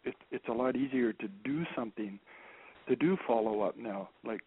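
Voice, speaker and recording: A soft-spoken man speaking as a guest, halting, with many repeated words and restarts. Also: medium pitch, slightly rough. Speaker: guest expert. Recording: phone-line audio.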